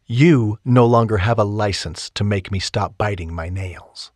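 A man voicing a stressed, stubborn young woman, raising his pitch and speaking emphatically. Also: measured pace, no room sound. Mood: upset.

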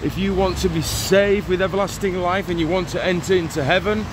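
A man in his forties preaches as he walks through town in the rain, his phone held out in front of him. His voice sits a touch high for a man, and his delivery is earnest and urgent, circling back over the same points. Clear traffic noise runs behind him. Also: steady tone, brisk. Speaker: street evangelist vlogger. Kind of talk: walk-and-talk preaching vlog. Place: outdoors on a town street in the rain. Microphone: phone held at arm's length. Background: passing traffic.

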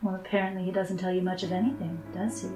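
A woman's voice: on the low side, flat delivery, quick pace, music in the background, natural voice. A sardonic, hard-nosed woman of a noir radio play, played by an actress. She needles bluntly, and the recording is roomy and slightly distant. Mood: teasing scorn. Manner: blunt, teasing, sarcastic. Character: tough, sardonic woman in noir detective tale. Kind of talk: audio drama acting. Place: indoor room on video call. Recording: roomy, off-mic home webcam sound.

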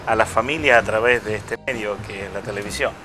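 A man in his fifties, a parish priest, talking in a relaxed interview with hesitations and restarts. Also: lowish voice, slight background noise. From Chile. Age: 50s. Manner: unhurried, reflective, with many hesitations and restarts. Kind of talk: casual interview conversation. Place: outdoor restaurant patio. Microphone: lapel mic.

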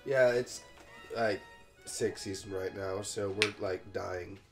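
Young man, low-pitched, congested, dry offhand gaming chat, faint music. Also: steady tone, slow, vocal fry. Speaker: co-host playing a video game.

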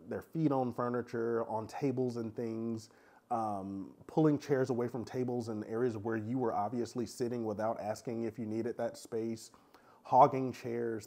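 A man chatting frankly in a casual review monologue, his voice raspy and congested from a cold, with lots of filler sounds. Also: mid-range voice, darkish tone. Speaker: vlogger reviewing a cruise.

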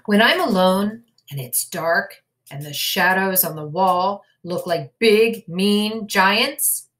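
Storybook cat, read by a woman: timid, confessing, dramatic, drawn-out pace. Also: mid-range voice, a bit bright. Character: timid cat admitting its fears.

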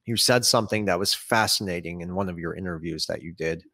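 A man in his thirties speaks in a low voice as he hosts an interview. He lays out long, opinionated questions over a video call. The sound is dry, with very little room in it. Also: fast, pushed and bright, no echo. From Saudi Arabia. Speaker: interviewer and host. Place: small home room, indoors.

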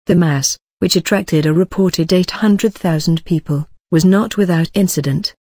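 A voice reading a news article aloud in an even, uniform way. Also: medium pitch, normal speed, husky, dry recording, studio quality. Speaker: news reader.

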